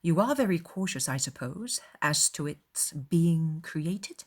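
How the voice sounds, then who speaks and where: A woman's voice: deep voice, expressive, takes it slowly, heavily acted. Woman acting a spirited, arch young lady. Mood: probing, arch. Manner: arch, articulate, emphatic. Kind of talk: dramatized audiobook acting.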